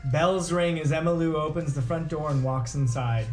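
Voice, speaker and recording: A woman's voice: deep voice, moderate pace, echoey room, crowd noise. A woman narrating stage directions at a script table read.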